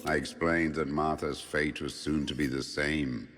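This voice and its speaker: A man playing Death as a grave, ancient spirit, solemnly and deliberately narrating a dark fable for a game. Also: extremely low pitch, fairly even intonation. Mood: grave, ominous. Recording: long cavernous reverb, music behind.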